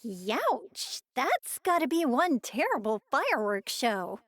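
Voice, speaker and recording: High-voiced, curious young anime mom. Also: female voice, sing-song, slow pace, fairly bright tone, no room sound, heavily acted. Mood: playful. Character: cheerful, curious young mother, playful and kind. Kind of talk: scripted video game character banter.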